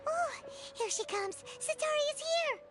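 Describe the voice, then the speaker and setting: A woman voicing a tiny, excitable, childlike fairy companion in a video game, squeaky and expressive. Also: unhurried, over loud music. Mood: nervous excitement. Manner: expressive, naive, chatty. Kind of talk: video game character acting.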